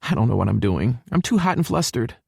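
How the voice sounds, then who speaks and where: A man's voice: mid-range voice, big pitch swings, brisk, vocal fry, darkish tone, breathy edge, no echo, clean studio sound, voice pushed up, exaggerated voice. A man voicing a flustered, teasing young woman who is feeling sick, in an audiobook story.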